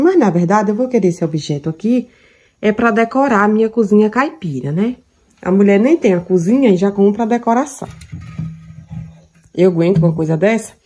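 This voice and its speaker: A woman's voice: medium pitch, a little mellow. A woman from Brazil, chatting casually through a cooking vlog in her home kitchen, fond of diminutives. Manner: chatty, affectionate, lots of diminutives. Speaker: vlogger.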